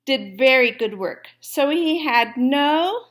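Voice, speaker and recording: A woman with a high voice reads aloud for children at a slow pace. She is deliberate and explanatory, like a teacher taking a class through a book. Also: animated, a bit bright. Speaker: story reader and teacher.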